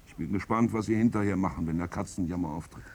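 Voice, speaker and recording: Very low-voiced sardonic playwright. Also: male voice, quick pace, a little mellow, husky. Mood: teasing, sardonic. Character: paternal, sardonic playwright, fussing and evasive. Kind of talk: 1950s German radio play acting. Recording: 1950s radio recording, faint noise.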